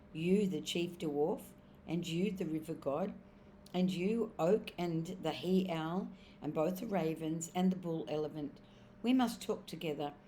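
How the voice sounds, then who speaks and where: A woman voicing a majestic male lion who speaks in a solemn, measured way. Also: fairly low pitch, warm tone, slight background noise, close to an everyday voice. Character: majestic male lion king, grave and commanding.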